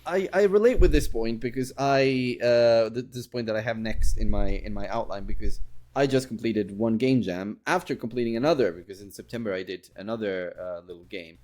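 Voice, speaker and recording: A man hosting a casual interview podcast, speaking in a halting, rambling way and often repeating his words. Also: mid-range voice, darkish tone.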